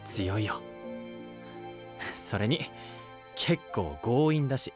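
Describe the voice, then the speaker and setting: A man's voice: on the low side, animated, takes it slowly, music in the background. A man playing a young knight in an anime-style voice drama, casual and blunt in speech yet reassuring like a big brother. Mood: relaxed, reassuring.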